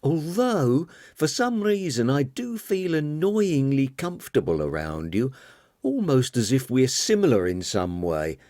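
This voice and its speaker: A man's voice: medium pitch, big pitch swings, a little slow, darkish tone, no room sound, clean studio sound, voice pushed up. A man voicing a sly, taunting male monster with a teasing, mock-poetic charm in an audiobook. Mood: teasing, musing.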